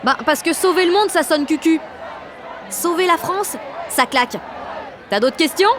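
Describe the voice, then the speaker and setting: A woman playing a brash, excitable sixteen-year-old girl with grandiose ideas, narrating an audio drama in slangy, dramatic first person. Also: extremely high pitch, moderate pace, background noise. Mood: cocky, excited. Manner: slangy, cocky, dramatic teenage delivery. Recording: music and street crowd behind the voice.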